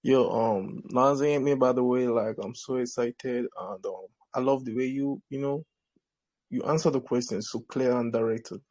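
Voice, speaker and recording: A man calling in to ask a question, talking casually and excitedly with slang. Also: mid-range voice, normal speed, no echo. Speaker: caller asking a question.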